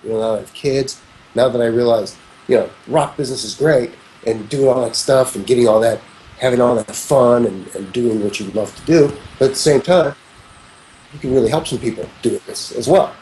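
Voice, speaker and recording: A man's voice: medium pitch, fairly quick, mellow, slight background noise, poor audio. A man in his fifties, a music producer talking as an interview guest, rambling and reflective, often restarting his sentences. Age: 50s. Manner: rambling, frequent restarts and "you know"s, reflective. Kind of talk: casual conversational interview.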